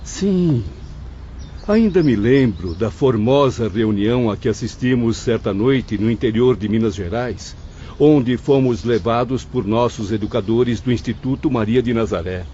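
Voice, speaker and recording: An actor playing a grave, doubtful male spirit in an audiobook drama, speaking in measured, formal literary phrases. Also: mid-range voice, dark tone, lightly acted. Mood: warm approval. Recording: dull audio with faint background noise.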